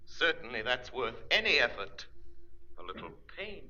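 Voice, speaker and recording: Unseen crime-fighter: very forceful, bright voice, persuasive and mocking, 1930s radio melodrama, muffled vintage sound. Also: male voice, medium pitch, sing-song, moderate pace. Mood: coaxing, insinuating. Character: shrewd, commanding, invisible crime-fighting avenger.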